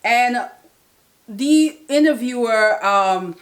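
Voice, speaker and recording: A woman's voice: fairly high pitch, lively intonation, very slow. Woman vlogger, casual recap, chatty, fillers and restarts.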